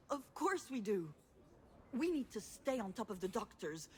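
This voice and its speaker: A woman's voice: fairly high pitch, very animated, measured pace, a little mellow. An actress playing a headstrong, combative and loyal adult woman, speaking bluntly and insistently in a naturalistic video game drama. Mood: urgent, insistent.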